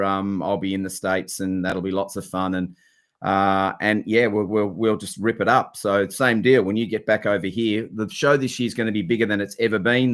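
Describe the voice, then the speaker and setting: A man's voice: lowish voice, fairly bright tone. A man with an Australian accent, co-hosting a casual live-stream chat in a friendly, upbeat way with plenty of restarts. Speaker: co-host.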